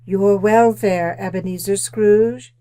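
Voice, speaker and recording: An actress playing an ageless female spirit in an old-time radio drama, calm and commanding, with formal, measured speech. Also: medium pitch, lightly acted. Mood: calmly commanding.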